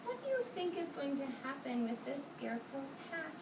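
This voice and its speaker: A woman's voice: high-pitched, sing-song, moderate pace, recorded from a distance. A woman teaching kindergarten through an interactive read-aloud, asking questions and repeating answers back in a roomy, noisy classroom. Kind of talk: interactive read-aloud lesson for young children. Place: indoor classroom with young children.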